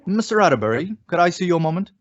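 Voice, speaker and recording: A man playing a polite, hesitant employee and husband in an old-time radio sitcom, speaking haltingly and meekly. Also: high voice, sing-song, soft background music. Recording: dull, dry lo-fi radio recording.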